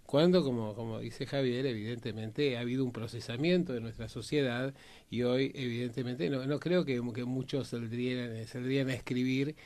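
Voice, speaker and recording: A man with an Argentine accent giving conversational political and historical commentary, halting and repeating words for emphasis. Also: medium pitch, fairly even intonation, darkish tone, no echo.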